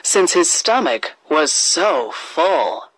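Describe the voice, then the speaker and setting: A woman tells a children's fairy tale in a very lively, melodic range. Her pace is slow and deliberate, with expressive phrasing, and the recording is dry and close. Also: low-pitched, slightly rough, dry recording, rough recording. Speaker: storyteller.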